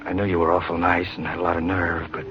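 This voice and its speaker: An actor playing a plain-spoken, brave, bashful young man in an earnest, halting 1940s radio style. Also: low voice, little pitch movement. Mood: tender, bashful. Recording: noisy, muffled 1940s radio recording.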